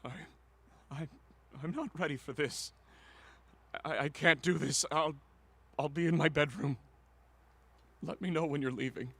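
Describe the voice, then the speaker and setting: A man's voice: fairly high pitch, a little slow, pauses often, sharp tone, husky, full character voice. A man acting in a radio drama as an anguished, guilt-ridden middle-aged father who is defensive and stammers with emotion. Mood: defeated.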